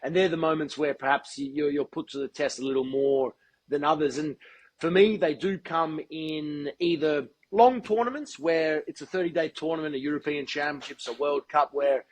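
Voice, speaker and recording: Guy on a podcast, kinda high voice, careful and well spoken. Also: low-quality recording. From Australia. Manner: measured, articulate, deliberate. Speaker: guest, football TV presenter. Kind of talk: podcast interview.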